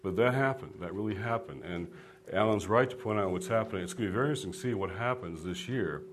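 A man's voice: lowish voice, quick pace, a little hiss or hum. A man speaking as a guest author on a discussion panel, earnest, with a preacherly cadence and a habit of telling jokes.

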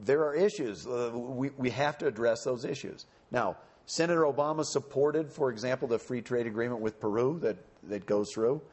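A man on a panel answering audience questions in a measured, explanatory way. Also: mid-range voice, a little mellow, slightly rough. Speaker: panelist speaking for a campaign.

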